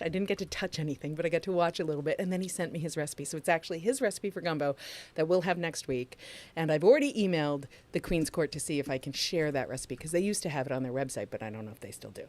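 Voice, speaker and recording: Low-voiced woman chatting on a livestream. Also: fast talker. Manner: chatty, rambling, laughs often. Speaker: podcast co-host. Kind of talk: casual livestream chat.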